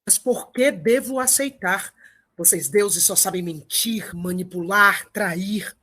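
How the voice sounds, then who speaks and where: Woman playing a husky Trojan warrior leader. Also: medium pitch, takes it slowly, a bit bright. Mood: wary, accusing. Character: adult male Trojan warrior leader, steadfast, exasperated father. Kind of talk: audio drama acting.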